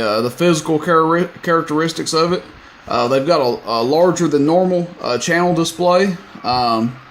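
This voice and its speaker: A man with a mid-pitched voice talks through a piece of equipment in a casual demonstration. His wording is plain, folksy and a little hesitant. The amateur recording carries clear background noise. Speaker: radio seller demonstrating equipment.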